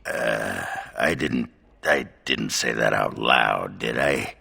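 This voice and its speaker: An actor playing a gruff, boozy, crude dwarf warrior in a video game, rambling with a very gravelly rasp. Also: male voice, rumbling low, slow pace, sharp tone, full character voice. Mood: boozy musing. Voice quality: very gravelly, rasping. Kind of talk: video game character acting.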